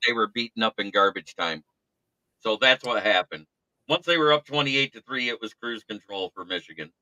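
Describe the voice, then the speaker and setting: A man's voice: on the low side, lively intonation, moderate pace, pushed and bright, no room sound, dull audio. A man on a sports talk panel giving casual commentary.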